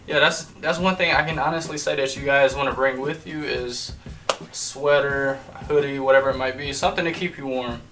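A young man co-hosting a casual couple's vlog from a bedroom, chatting to viewers in a relaxed, laid-back way. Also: medium pitch, a little fast, distant mic, rough recording. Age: young adult. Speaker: vlog co-host. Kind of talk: casual chat. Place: bedroom, indoors.

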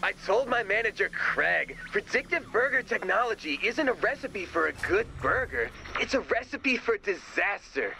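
An actor playing an excitable, gullible, earnest young man in an animated video game, melodramatic in delivery. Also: sits high, normal speed, gravelly, hardly any pauses, slight background noise, exaggerated voice, rough recording. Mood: indignant.

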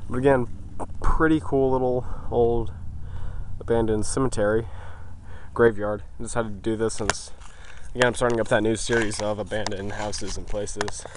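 A man's voice: fairly low pitch, fairly even intonation, normal speed. A man giving casual vlog narration outdoors on a handheld camera in the wind. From United States. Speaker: vlogger exploring abandoned places. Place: outdoors in a windy graveyard. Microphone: handheld camera's built-in mic. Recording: noisy windy outdoor camera audio. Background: wind and outdoor noise.

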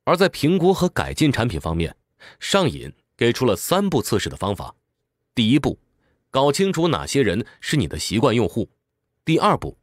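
A man narrates an explanatory script with highly animated, swinging intonation. He lays out points in an orderly, instructive manner, like a book-summary presenter. The recording is dry and close, with a mid-range voice. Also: no room sound.

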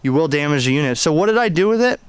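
Man, slightly high pitch, smooth clear voice, hobbyist gear review, unscripted, halting, explanatory, conversational. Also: lively intonation, dry recording. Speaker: ham radio hobbyist reviewing an antenna. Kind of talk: unscripted product demonstration and review.

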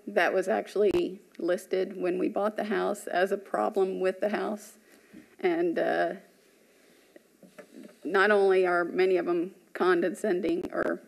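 A woman's voice: mid-range voice, vocal fry, darkish tone, poor audio. A woman homeowner with a light voice speaking at a public hearing, nervous and friendly, with "um" and "uh" fillers. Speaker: homeowner applicant. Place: large municipal meeting room. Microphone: podium microphone.

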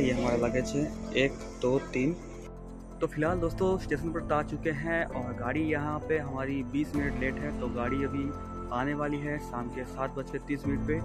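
A young man hosts a train travel vlog in an even, informative way, reeling off schedules and delays. His voice sits a little on the high side. Loud background music plays under his phone-recorded voice on busy platforms. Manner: steady informative delivery full of times and stations. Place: railway platforms and train, outdoors. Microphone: phone camera held at arm's length.

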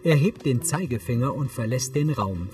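A man's voice: mid-range voice, expressive, moderate pace, darkish tone, distant mic, soft background music. A man reading neutral, scripted audio description for a TV series.

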